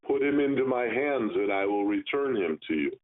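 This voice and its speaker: A stern, earnest older brother, as a man reads him. The voice sits a little low and sounds thin, squeezed through a phone-like radio band. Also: expressive, moderate pace, lightly acted.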